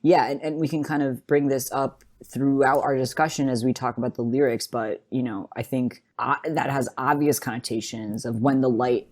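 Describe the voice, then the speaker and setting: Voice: medium pitch, fairly even intonation, moderate pace, few pauses. A podcast host talking through an analysis in a casual, conversational and enthusiastic way, often adding "like" and checking in with "right?".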